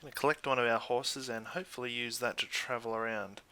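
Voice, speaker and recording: An Australian man giving casual, chatty let's play commentary in an easygoing way, thinking out loud as he goes. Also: mid-range voice, fairly even intonation, fast, poor audio. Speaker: gaming YouTuber.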